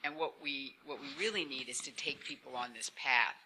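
A woman with a strikingly bright, forceful voice gives off-the-cuff remarks on a conference panel. She is earnest and emphatic, circling back to key phrases, with slight room noise behind her. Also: very deep voice, brisk, rough recording.

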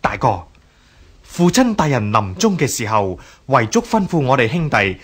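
A deferential younger brother in a historical radio drama. His Hong Kong Cantonese voice is slightly husky and rises and falls expressively, hesitant and placating. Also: mid-range voice, very animated, moderate pace, fairly bright tone. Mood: careful persuasion. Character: cautious, deferential younger brother of a powerful lord.

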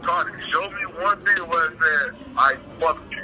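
A man with a somewhat high-pitched voice argues defensively over a speakerphone call. He is insistent and repetitive, often cutting in, with clear crowd noise behind him. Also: normal speed, poor audio. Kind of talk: phone argument, defensive.

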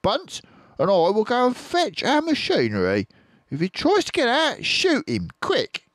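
A cruel, bossy farmer barks his orders in a high, strained shout. An older man does the voice for a read-aloud storybook. He is angry and hard of hearing. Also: huge pitch swings, unhurried, no echo, clean studio sound, full character voice. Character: mean, deaf, bossy tall farmer.

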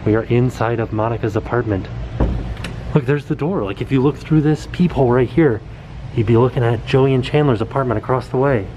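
Excited man touring a room on camera. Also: mid-range voice, fairly quick, background noise. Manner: excited, pointing things out. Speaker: vlogger. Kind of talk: casual walkthrough vlog. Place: indoor TV set replica, small room. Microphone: camera held at arm's length.

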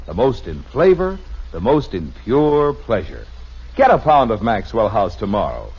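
Animated, husky American radio pitchman. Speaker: commercial announcer. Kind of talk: 1950s radio advertising pitch. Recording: muffled vintage radio broadcast.

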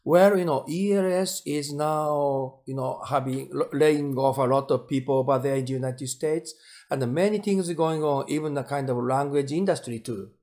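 Male interviewer, native Japanese speaker with Japanese accent, somewhat high-pitched, casual and searching for words. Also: moderate pace, a little mellow.

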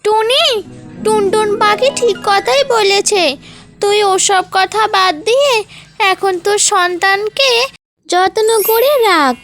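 A woman's voice: very high-pitched, takes it slowly, a bit bright, faint music behind, voice pushed up, full character voice. A woman voicing a stern, practical elder neighbour bird in a cartoon, giving admonishing advice. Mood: stern, advising. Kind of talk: cartoon character dialogue.

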